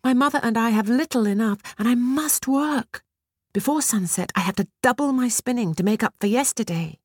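A woman voicing a dutiful, tearful young peasant girl in a storybook, with a high, breathy, pleading voice. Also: unhurried, no echo, clean studio sound.

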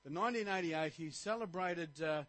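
Middle-aged man, pastor, animated sermon delivery, fairly high voice, dry close sound. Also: normal speed, no echo. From Australia. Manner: animated preaching, rising to emphatic points.

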